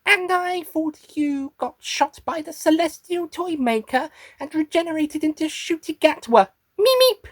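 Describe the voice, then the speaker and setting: Squeaky lil alien critter, super high, cutesy but scheming, wheedly, swoops around, close dry sound. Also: female voice, expressive, measured pace, few pauses, dry recording. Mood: mock innocent. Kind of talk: fan parody character voice recording.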